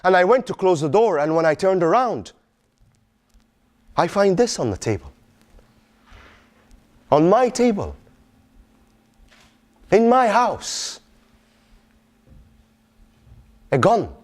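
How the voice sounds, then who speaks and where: A man's voice: high voice, big pitch swings, slow pace, pauses often, exaggerated voice. A man performing a dramatic monologue as an anguished middle-aged Palestinian father, in Arabic-accented English, with emphatic repetition and pointed questions. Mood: shaken. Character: anguished Palestinian father pleading with his vengeful son.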